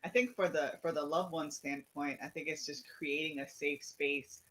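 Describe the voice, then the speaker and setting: A woman's voice: sits low, fairly even intonation, normal speed, fairly bright tone, slight background noise. A woman from Trinidad chatting casually on a talk show in an informal, agreeable, reflective way.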